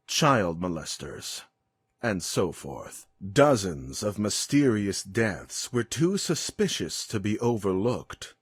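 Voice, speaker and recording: A man narrating a scripted dramatic story in a grave, deliberate, suspenseful manner. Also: on the low side, husky, no echo, studio quality.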